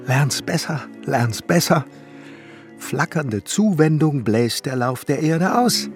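An actor playing a bitter, brooding ruined court glassmaker in a German radio play, declaiming theatrically in poetic monologue. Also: male voice, a little high, lively intonation, slow. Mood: agitated. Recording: studio radio play with music underneath.

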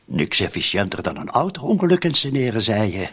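Cynical Flemish murder accomplice, radio drama. Husky voice, very animated delivery, streetwise and pressing. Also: male voice, on the low side, fairly quick, heavily acted. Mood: grim, sardonic. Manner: insistent, colloquial, spelling things out.

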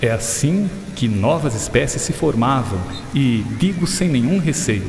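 A man plays a learned English gentleman naturalist in an educational radio drama. He explains patiently and earnestly at a middle pitch, sprinkling in English words, in a very echoey hall with music underneath. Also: animated, measured pace. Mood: earnest, explanatory. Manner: explanatory, peppered with English interjections.

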